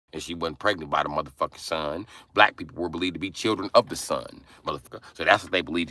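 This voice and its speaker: Young man, low voice, hard tense edge, furious profane rant, dry close car sound. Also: no echo. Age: young adult. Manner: angry, forceful, profane ranting. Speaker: livestream host. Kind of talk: angry profane livestream rant. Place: inside a parked car.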